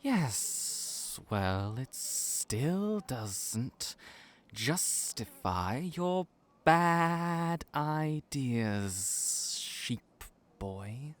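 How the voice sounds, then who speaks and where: A man playing a dry, exasperated young snake hybrid who works in a cafe, deadpan and sardonic in an audio roleplay. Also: medium pitch, extremely slow. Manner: deadpan, sardonic, formal wording.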